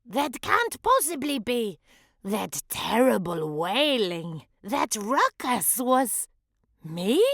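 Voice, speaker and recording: Woman voicing a brash young gecko, high and swooping. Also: very animated, slow pace, creaky, a bit bright, no room sound, studio quality, exaggerated voice. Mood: shocked disbelief. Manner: indignant, theatrical exclaiming. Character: brash, self-important young male gecko show-off.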